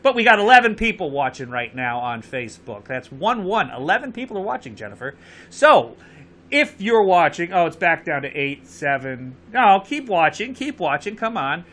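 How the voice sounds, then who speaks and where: A man's voice: on the high side, very animated, moderate pace, sharp tone. A man with a Connecticut accent hosting a live call-in show, urging viewers to phone in and repeating the number. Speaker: show host.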